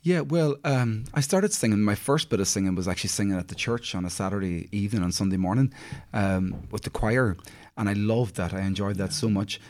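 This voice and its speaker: A man's voice: lowish voice, fairly quick, a little mellow. A man with a Northern Irish accent chatting in a friendly, casual way as an interview guest, reminiscing with frequent you-knows. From County Derry, Northern Ireland.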